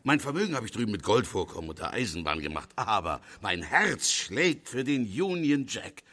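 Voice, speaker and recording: Wealthy American tycoon, deep voice, lively swings, hearty, effusive, radio drama. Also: male voice, moderate pace, exaggerated voice. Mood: effusive, proud. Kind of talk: German audio drama acting.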